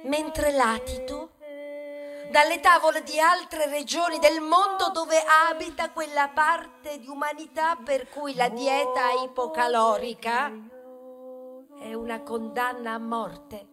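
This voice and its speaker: A woman's voice: high-pitched, fairly even intonation, slow, music in the background. An actress playing a proud, aggrieved male grain of wheat in a satirical stage monologue, theatrical and plaintive, swelling into declamation and confiding in asides. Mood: pleading, reproachful. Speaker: stage actor performing a monologue. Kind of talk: theatrical satirical monologue, rhetorical, with bursts of song.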